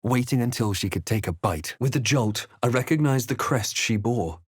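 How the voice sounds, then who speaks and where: An actor playing a brooding adventurer hero in a fantasy game, speaking in a grave, deliberate, understated way. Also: male voice, mid-range voice, animated, husky, no echo, clean studio sound. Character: brooding, measured adventurer hero recounting his dark journey. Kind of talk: scripted fantasy game narration and character lines.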